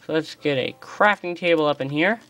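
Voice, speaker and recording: Casual game commentary, chatty, excitable, middle pitch, phone recording, keyboard typing. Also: brisk. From United States. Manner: chatty, excitable, self-deprecating. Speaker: gaming channel host. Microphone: phone filming a screen.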